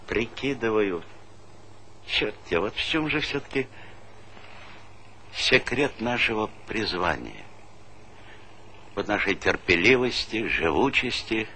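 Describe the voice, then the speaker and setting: An actor playing a middle-aged, fatherly village leader in a stage play, reflective and weary, talking in measured, plain phrases. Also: fairly low pitch, pushed and bright, full character voice. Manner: measured, pausing, plain-spoken. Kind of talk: theatrical stage-play dialogue. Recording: noisy vintage stage play recording.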